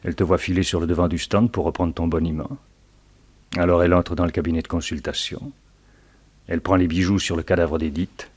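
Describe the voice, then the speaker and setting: Deep-voiced sly blackmailer. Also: male voice, fairly even intonation, a little fast, darkish tone, slightly rough. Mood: gloating. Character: scheming male blackmailer, sly, taunting crook. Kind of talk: French radio crime drama acting.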